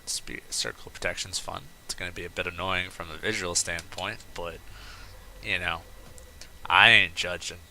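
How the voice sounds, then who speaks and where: A man's voice: deep voice, fairly even intonation, normal speed, pushed and bright, slight background noise, rough recording. A man hosting a let's play, chatting casually and rambling into off-topic asides while he plays. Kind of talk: casual gameplay commentary.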